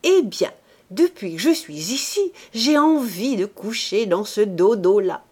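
A woman reading an audiobook, voicing a brash, greedy woman who talks in a shrill, loud, giggly way. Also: lively intonation, measured pace, slightly rough. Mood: gloating.

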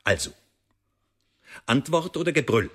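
An actor playing a menacing secret-service interrogator in a historical radio drama, speaking with sardonic, officious politeness. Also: male voice, medium pitch, expressive, a little slow, fairly bright tone, breathy edge. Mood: mocking menace. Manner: sardonic, threatening, ornately officious phrasing.

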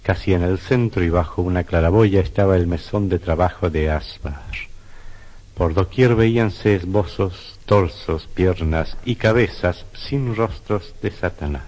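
A man narrating a suspense radio drama in a solemn, literary, measured manner. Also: low-pitched, darkish tone. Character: solemn male narrator of eerie suspense tale. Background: music.

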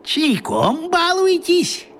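An actor playing a small, crafty old wizard in a children's radio play, talking in a coaxing, folksy way with a husky voice. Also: male voice, very high voice, huge pitch swings, very slow, a bit bright, slight background noise, heavily acted.

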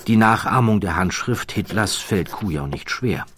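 A man narrating a scripted documentary story in a measured, emphatic and wry manner, close to the microphone. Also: fairly low pitch, lively intonation, a bit bright, slight background noise.

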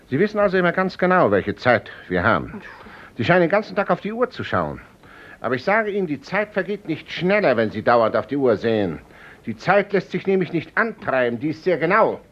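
A pompous company director in an old radio drama, irritable and imperious. His fairly high voice swings widely as he lectures with sarcasm. The 1950s recording sounds dull. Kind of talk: 1950s radio play acting.

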